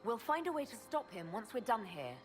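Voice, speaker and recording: A woman's voice: fairly high pitch, very animated, brisk. A woman playing a resolute, inquiring leader of a quest in a fantasy game, direct and composed in manner. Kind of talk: fantasy game dialogue acting. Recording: loud game music behind the voice. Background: game soundtrack music.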